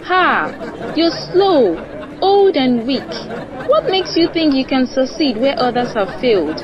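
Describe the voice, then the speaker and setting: A woman's voice: high-pitched, very animated, slow, muffled recording, voice pushed up, heavily acted. A woman voicing a scornful animal from a mocking crowd in a folktale, jeering in exclamations.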